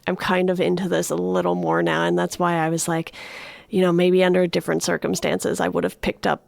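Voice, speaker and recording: A woman talks casually as a podcast co-host in a book discussion. Her voice sits a little low and has a gravelly fry to it. The recording is dry, with very little room sound. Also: little pitch movement, fairly quick, no echo. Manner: frequently laughs while talking.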